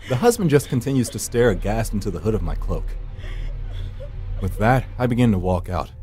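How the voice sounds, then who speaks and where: A man's voice: lowish voice, very animated, brisk, mellow. A man voicing a wry, secretive supernatural finder who narrates a horror visual novel with dry, theatrical sarcasm. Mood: dry, sardonic. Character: wry, secretive male hired finder from the edge of death. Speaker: narrator and lead character. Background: background music.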